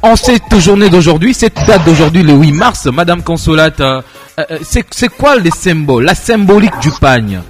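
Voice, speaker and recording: A man's voice: a little high, expressive, moderate pace, faint music behind. A man with a Congolese French accent hosting a live radio show, repeating himself as he brings in guests and questions. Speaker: radio host.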